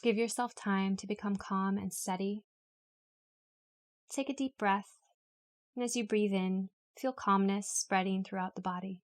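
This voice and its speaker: Even-toned woman guiding a meditation. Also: medium pitch. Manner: calm, unhurried, instructional. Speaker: yoga nidra meditation guide. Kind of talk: guided meditation, read from a script.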